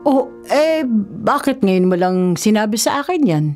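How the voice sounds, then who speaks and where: An actress playing a cheerful, gentle elderly mother and grandmother in a Filipino radio drama. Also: on the high side, lively intonation, moderate pace, soft background music, close to an everyday voice. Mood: surprised. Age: older.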